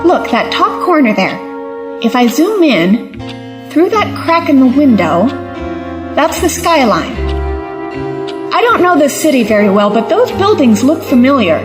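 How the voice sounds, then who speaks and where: Confident female investigator, acted by a woman, high-pitched, matter-of-fact, determined, radio play, background music. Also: very animated, unhurried, pauses often. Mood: focused, curious. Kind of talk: audio drama acting.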